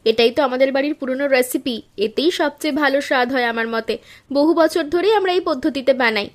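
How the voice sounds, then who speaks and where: A woman's voice: high voice, fast talker, close to an everyday voice. A young woman voicing a sensible, traditional elder daughter-in-law in a cartoon, speaking politely and eagerly. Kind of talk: cartoon voice acting.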